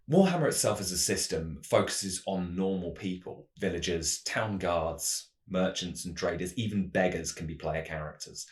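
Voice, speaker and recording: A man hosting a tabletop roleplaying channel, giving scripted explanations in a measured, storyteller-like way. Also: sits low, echoey room.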